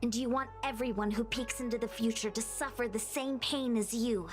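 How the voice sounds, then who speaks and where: A woman playing a spirited, expressive young female diviner in a video game, earnest and loyal. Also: on the high side, normal speed, faint music behind, natural voice. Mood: defiant.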